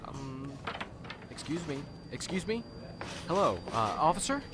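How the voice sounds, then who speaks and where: A man's voice: a little high, very animated, a little slow, noisy background. A man playing a stammering nervous professor. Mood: jittery. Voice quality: stammering, hesitant, fumbling for words. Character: nervous, unsure male college professor. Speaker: professor bringing a lead. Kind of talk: amateur radio drama acting.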